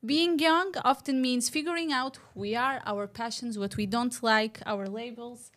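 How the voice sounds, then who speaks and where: A woman with a fairly high voice hosts a casual podcast. She introduces her guests, asks personal questions and laughs often as she talks. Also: normal speed, a bit bright. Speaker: podcast host.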